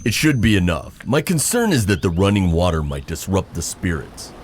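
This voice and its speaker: A man playing a steady, skeptical, practical ghostbuster technician in an audio drama. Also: sits low, moderate pace, noisy background. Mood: focused.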